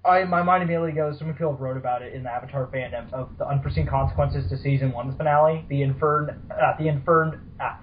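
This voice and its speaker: A man's voice: medium pitch, steady tone, quick pace, hardly any pauses. A man hosting a casual fan fiction chat podcast, with a teasing, playfully profane manner. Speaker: podcast host. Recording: dull, lo-fi audio.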